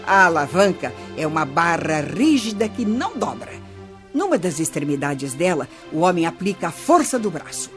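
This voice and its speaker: A woman's voice: on the low side, normal speed. A woman playing a patient, wise old grandmother who explains things to children in a radio play. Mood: patient, instructive. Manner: didactic, expressive storytelling. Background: music.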